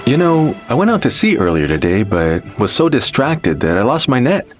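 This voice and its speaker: A man's voice: medium pitch, very animated, soft background music, natural voice. A man voicing a vague, easygoing, absent-minded fisherman with a timid streak in an anime-style video game. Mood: blissfully carefree. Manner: vague, unhurried nonchalance.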